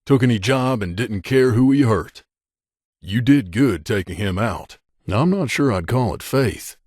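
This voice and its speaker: Low-voiced, guarded mercenary bodyguard played by a man. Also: dry recording, clean studio sound. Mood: businesslike, dry. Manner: terse, aloof, matter-of-fact. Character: guarded, gruff mercenary bodyguard, blunt professional loner. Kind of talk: video game character dialogue.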